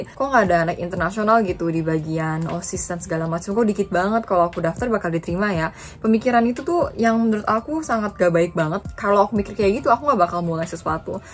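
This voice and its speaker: A young woman from Indonesia talking casually in a reflective vlog, chatty and dropping in English phrases. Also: mid-range voice. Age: young adult. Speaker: student vlogger.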